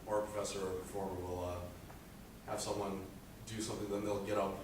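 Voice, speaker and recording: Teen boy, low monotone voice, earnest student presenter, distant mic in an echoey school room with noise. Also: fairly quick. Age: teens. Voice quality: low speaking voice. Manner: earnest, rambling with many "uh" fillers. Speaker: high school student presenting a senior project. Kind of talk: presentation speech.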